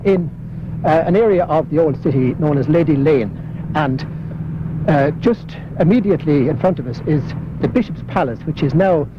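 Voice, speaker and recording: A man's voice: on the high side, expressive, moderate pace. An Irish man, a local historian, talking as he walks through old city streets, with many hesitant fillers. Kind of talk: walking interview. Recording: muffled 1980s broadcast with street noise.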